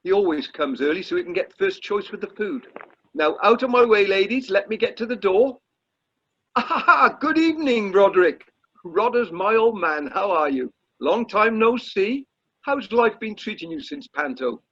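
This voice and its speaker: Man playing high-voiced pompous British chairman. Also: expressive, moderate pace, a bit bright. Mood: bustling, welcoming. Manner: stammering, pompous, fussy. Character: self-important, flustered drama society chairman. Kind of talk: amateur radio play acting. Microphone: video call. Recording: muffled lo-fi video call audio.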